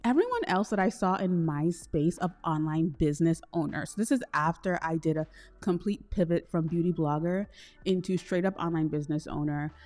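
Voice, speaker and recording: A woman from Trinidad hosting a solo podcast, talking in a chatty, expressive way with slangy asides. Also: on the low side. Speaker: podcast host. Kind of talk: solo podcast, casual self-reflection.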